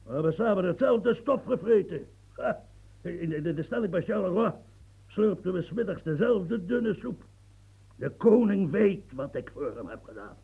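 An actor playing a proud, blustering old marshal in a radio play, gravelly and coughing, swinging from mumbles to outbursts. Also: male voice, high-pitched, expressive, moderate pace, phone-quality sound, exaggerated voice. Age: older.

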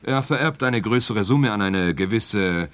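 A rich man's son in a radio play, a client with a low-pitched voice. He speaks in an earnest, formal way, a little prim and often hesitant. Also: animated, measured pace, a bit bright, clear, resonant tone. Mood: hesitant, puzzled.

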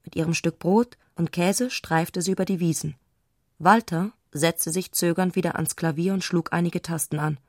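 A woman reading literary scripted narration as a radio drama narrator, measured and composed. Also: fairly low pitch, fairly even intonation, no echo, studio quality.

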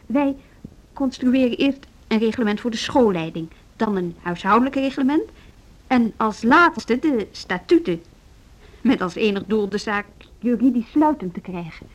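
Radio play: determined deputy, slightly high voice, articulate and pointed, Netherlands Dutch. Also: female voice, expressive, unhurried, rough recording. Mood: pointed, resolute. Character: strong-willed, principled female music school deputy. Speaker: radio drama actor.

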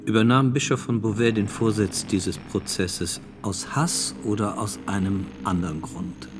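Man acting court interrogator, radio drama, deep voice, sober, formal, probing, music behind. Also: fairly even intonation, measured pace, lightly acted. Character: male court examiner questioning a witness, sober, formal.

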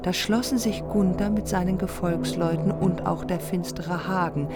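A woman's voice: on the low side, fairly even intonation, normal speed, over loud music. A woman narrating a heroic epic in a scripted radio play.

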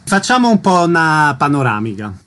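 A man hosting a radio interview, brisk and to the point with short questions. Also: fairly high pitch, big pitch swings, a bit bright. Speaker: radio host. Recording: radio broadcast.

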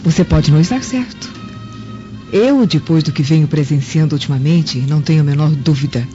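Actress as a dark-voiced scheming Roman seductress. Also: female voice, deep voice, fairly quick, close to an everyday voice. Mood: scheming, seductive. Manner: insinuating, calculating. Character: scheming seductive Roman noblewoman plotting against a rival. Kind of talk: radio drama acting. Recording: muffled lo-fi audio with music.

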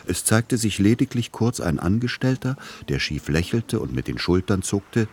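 Deep male voice, long-suffering son, dry literary radio play narrator, restrained, audible ambient noise. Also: sing-song, normal speed, a little mellow. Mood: wry narration.

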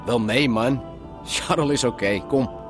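An actor playing an easygoing, wheedling small-time car dealer and crook in a radio drama, chatty and placating. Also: male voice, medium pitch, a little slow, lightly acted. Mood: smooth-talking. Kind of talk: naturalistic radio drama acting. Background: music.

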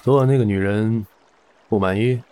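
A man's voice: on the low side, normal speed, mellow, slight background noise, lightly acted. A man playing a shrewd, worldly gambling boss in an audio drama, speaking with authority. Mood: worldly, probing.

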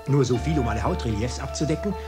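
A man's voice: medium pitch, lively intonation, quick pace, natural voice. An actor playing a proud fingerprint expert in a radio crime drama, explaining his craft precisely and boastfully. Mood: proud, eager. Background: music.

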